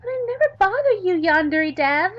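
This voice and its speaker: A very high, shrill anime schoolgirl for a visual novel, whiny and overeager. The girl is loud, indignant and dim, with a swooping delivery through a slightly dull mic. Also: very animated, moderate pace, soft background music, heavily acted. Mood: pleading.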